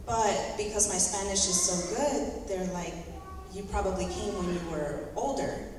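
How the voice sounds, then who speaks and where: A woman's voice: medium pitch, steady tone, takes it slowly, noisy background. Earnest woman testifying in an echoey church. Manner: earnest, heartfelt. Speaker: church member giving a testimony. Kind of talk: personal testimony in short phrases, paused for interpreting. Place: large church sanctuary. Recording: echoey hall from far off.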